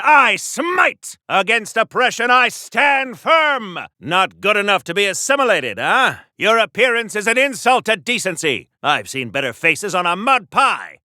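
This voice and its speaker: A man's voice: sits high, pushed and bright, no echo, clean studio sound, exaggerated voice. An actor playing a bombastic patriotic 1940s American soldier superhero in a video game, theatrical and old-fashioned in his phrasing. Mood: rousing, defiant, then indignant, scornful. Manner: theatrical, declamatory, quaint old-time phrasing.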